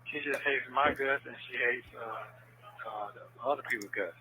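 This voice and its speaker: A man's voice: medium pitch, moderate pace, pushed and bright. A man chatting casually on a recorded jail phone call, slangy and repeating himself. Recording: noisy, tinny recorded jail phone line.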